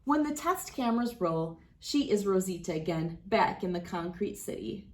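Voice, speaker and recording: A woman reading a children's story aloud as host, with dramatic, deliberate phrasing and clear emphasis. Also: mid-range voice, expressive. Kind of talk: expressive picture-book read-aloud.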